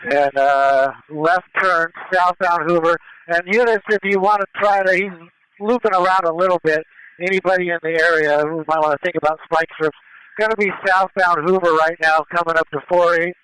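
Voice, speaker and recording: A man calling a police pursuit over the radio, directing units in a measured, matter-of-fact way. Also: high-pitched, steady tone, pushed and bright, clean tone, dry recording, poor audio. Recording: live police scanner radio transmission.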